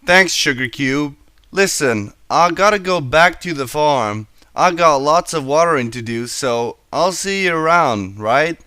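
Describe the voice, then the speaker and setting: A man's voice: fairly high pitch, lively intonation, a little slow, bright, forceful tone, clean tone, no room sound. A man voicing a hot-tempered young female farm pony with a brusque, folksy in a storybook reading. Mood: brisk, folksy.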